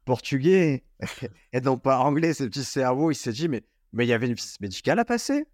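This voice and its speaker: A man's voice: mid-range voice, animated, brisk, darkish tone, no room sound, studio quality. A man hosting a casual podcast, talking informally with lots of fillers and restarts. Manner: chatty, informal, many fillers. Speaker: podcast host.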